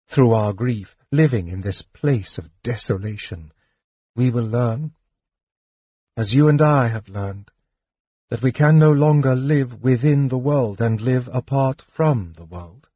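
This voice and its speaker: A man voicing a young elf princess who gently pleads with her dying father. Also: medium pitch, measured pace, husky, no room sound, muffled recording. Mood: pleading.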